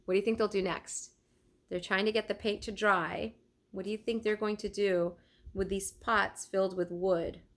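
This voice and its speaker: A woman reading a children's storybook aloud like a teacher, expressive and deliberate, pausing to put questions to her listeners. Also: medium pitch.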